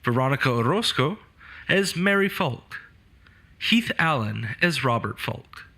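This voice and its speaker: A man announcing the credits, reading in a crisp, announcing manner. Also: medium pitch, expressive, a little slow, pushed and bright.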